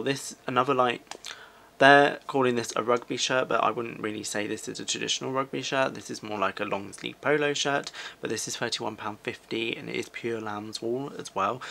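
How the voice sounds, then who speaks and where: Bright-voiced young British man, slightly gravelly. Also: medium pitch. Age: 20s. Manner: chatty, rambling with asides. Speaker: fashion vlogger. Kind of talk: casual shopping haul commentary. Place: small furnished living room, indoors.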